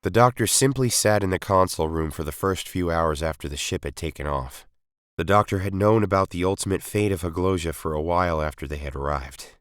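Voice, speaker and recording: A man with a low voice narrates a science fiction story from a script. He works as a storyteller reading prose aloud. The sound is dry, with very little room around it. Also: a little fast, no echo. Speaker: story reader.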